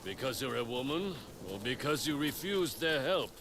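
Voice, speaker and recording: An actor playing a stern, gruff middle-aged spear master and resistance leader in a video game, blunt and wry as he instructs. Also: mid-range voice, lively intonation, normal speed, background noise, close to an everyday voice. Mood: wry, assessing. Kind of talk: dramatic video game acting.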